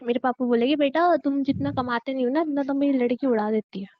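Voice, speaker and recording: A woman's voice: sits high, steady tone, fast, no room sound, close to an everyday voice. A young woman playing a teasing, blunt, playfully stubborn girlfriend in a casual romantic phone-call skit, sassy and dismissive. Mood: scornful, blunt. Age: young adult. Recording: dry, slightly muffled phone-call sound.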